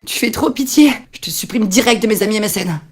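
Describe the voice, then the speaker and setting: A man's voice: high-pitched, fast talker, loud music behind the voice, voice pushed up. A man voicing a dismissive, mocking teenage girl. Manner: sneering and dismissive.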